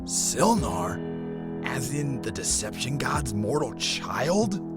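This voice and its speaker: A man's voice: mid-range voice, very animated, takes it slowly, over loud music. An actor playing a curious, earnest young man of mixed blood in a fantasy audio drama. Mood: awed.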